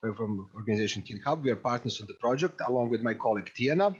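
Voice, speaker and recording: A man from Serbia with a Serbian accent, introducing himself as a project partner on a video call in a relaxed, informal way. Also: medium pitch, quick pace, slight background noise. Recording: dull lo-fi audio.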